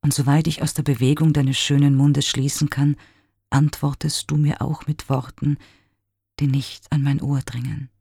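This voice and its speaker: A man voicing a lovesick young man who despairs over his own reflection, speaking in an intimate, mournful, pleading way with breathy whispers. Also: fairly high pitch, little pitch movement, moderate pace, warm tone, no room sound, clean studio sound. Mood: yearning.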